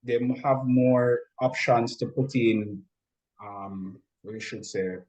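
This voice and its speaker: A man speaking as an interview guest, explaining things in a measured, instructive way. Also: mid-range voice, steady tone, a little mellow, highs cut off. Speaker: interview guest, music industry expert.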